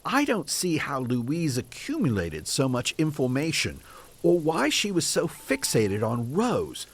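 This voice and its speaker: A man performs as an earnest former detective in an audio drama. His delivery swings widely in pitch as he courteously unspools his story in old-fashioned phrases, haunted and obsessive. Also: wildly swooping pitch, a little slow, slightly rough, heavily acted. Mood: earnest, confiding.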